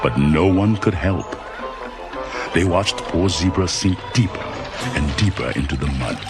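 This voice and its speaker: A man with a low voice narrates a children's storybook. His delivery is dramatic and moves widely up and down. Music plays clearly behind him. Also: lively intonation, unhurried. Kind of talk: scripted children's read-along narration.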